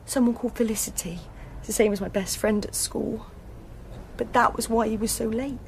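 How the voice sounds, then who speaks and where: A woman's voice: a little high, steady tone, fairly quick, vocal fry, slight background noise, rough recording. An actress playing a young woman hairdresser, plain-spoken and distraught after her boyfriend's murder, in a radio drama. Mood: grieving. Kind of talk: BBC radio drama acting.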